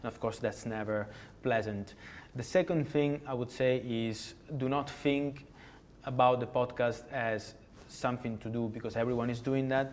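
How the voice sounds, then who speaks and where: A man's voice: medium pitch, fairly even intonation, normal speed, hardly any pauses, a little hiss or hum. A man with an Italian accent, speaking English as a guest in an interview, hesitant with many fillers and restarts. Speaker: podcast guest. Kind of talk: conversational interview.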